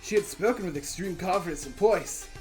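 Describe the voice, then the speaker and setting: Low-voiced woman narrating over game music. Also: a little fast, darkish tone, poor audio. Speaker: streamer reading a visual novel aloud. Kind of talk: playthrough narration with casual reactions.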